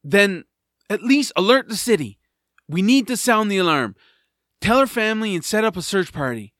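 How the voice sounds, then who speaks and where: An earnest guardian, played by an actor, speaks urgently with a lively, swinging melody. The voice is close and dry, pleading and concerned, in family audio drama style. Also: medium pitch, normal speed, no room sound. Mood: worried, urgent. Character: earnest, worried guardian called the Sky Caller.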